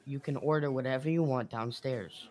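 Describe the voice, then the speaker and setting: A woman's voice: very deep voice, normal speed, darkish tone. A woman acting firm store manager and reporter parts in an amateur radio drama. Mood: firm, defensive. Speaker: student actor in a class radio drama. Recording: music playing behind the voice.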